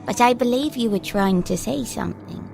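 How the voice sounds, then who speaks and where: A woman's voice: on the high side, normal speed, gravelly, darkish tone, a little hiss or hum. A woman playing an uncanny little girl in an audio drama, polite, formal and coolly commanding. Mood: politely insistent. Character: uncanny little girl, prim, shrewd, older than she seems.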